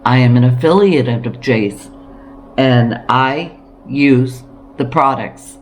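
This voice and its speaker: A woman talking casually to camera about practical living as a homesteading vlogger, encouraging and emphatic, with deliberate pauses. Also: rumbling low, soft background music. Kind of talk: casual preparedness talk to camera.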